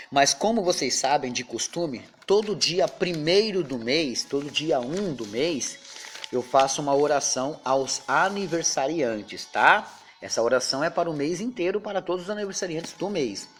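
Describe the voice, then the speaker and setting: A man, a pastor, leading an informal Bible study with a prayer, talking in a chatty way and often ending phrases with tag questions. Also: a little high.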